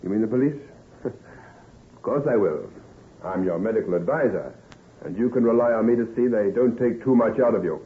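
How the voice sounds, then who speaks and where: A man playing a brisk, solicitous doctor in an old-time radio drama, emphatic and reassuring in his talk. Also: fairly low pitch, animated, narrow, tinny audio, lightly acted. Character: male doctor, brisk, solicitous friend and adviser.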